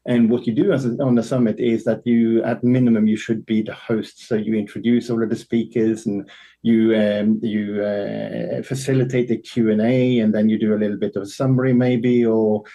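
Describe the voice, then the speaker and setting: A man with a Norwegian accent, hesitant and self-deprecating, answering interview questions with many pauses. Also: fairly low pitch, little pitch movement, warm tone. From Norway. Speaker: guest, event platform co-founder.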